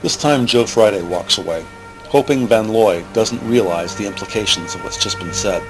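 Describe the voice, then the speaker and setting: A man's voice: on the low side, wildly swooping pitch, moderate pace, low-quality recording. Male drama narrator over music. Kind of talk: scripted present-tense audio drama narration. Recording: music bed under the voice.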